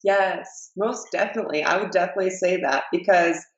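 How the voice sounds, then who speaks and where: A woman's voice: on the low side, fairly quick, reverberant, highs cut off. A woman in her twenties from Iowa, a fitness coach telling upbeat stories as a podcast guest. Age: 20s. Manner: upbeat, storytelling. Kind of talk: casual chat.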